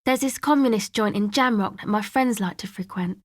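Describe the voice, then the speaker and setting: A woman's voice: medium pitch, brisk, no room sound, lightly acted. A woman voicing a laid-back young woman from a street rave crew in a video game, talking casually in a dry, hesitant, slangy way. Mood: offhand, sceptical. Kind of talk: video game character dialogue.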